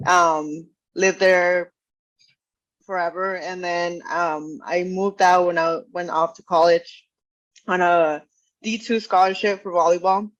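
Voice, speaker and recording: A woman's voice: on the low side, fairly even intonation, slow, dull audio. A woman raised in Mexico, a strength coach, talking easily about her career and joking. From Mexico, Ciudad Juarez. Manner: easygoing, joking.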